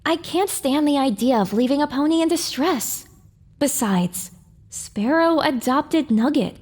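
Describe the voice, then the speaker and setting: A woman's voice: high-pitched, animated, unhurried, a little mellow. A woman playing a young, stubborn, idealistic pony heroine in an audio drama, with a sassy but earnest manner. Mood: earnest, pleading.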